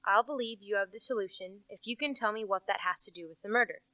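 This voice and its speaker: A young woman acting as a brisk, sceptical police inspector in an amateur radio play. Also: medium pitch, bright, forceful tone, no echo, natural voice. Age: teenager to young adult. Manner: brisk, dismissive. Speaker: actor.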